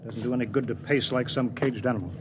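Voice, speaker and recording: An actor playing a stern, weary starship captain who gives firm, clipped commands in a 1950s radio drama. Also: male voice, medium pitch, steady tone, quick pace, close to an everyday voice. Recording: dull 1950s radio broadcast with faint hiss.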